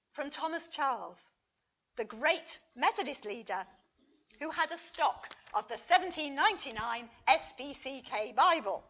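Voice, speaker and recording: Female conference lecturer, unhurried and expressive, reading a prepared paper on a slightly muffled recording. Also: high-pitched. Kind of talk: scripted academic lecture.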